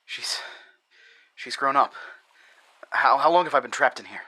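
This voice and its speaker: A man's voice: mid-range voice, sharp tone, no room sound. Anguished, stammering actor as grieving man. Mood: shaken. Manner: halting, stammering, repeating words. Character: anguished, grieving trapped husband and father, bitter and confused. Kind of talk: horror audio drama acting.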